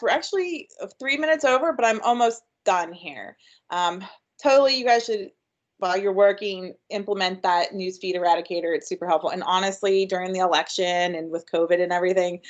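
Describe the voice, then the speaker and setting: A woman giving an upbeat, chatty webinar presentation with frequent ums. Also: mid-range voice. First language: English. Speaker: workshop presenter.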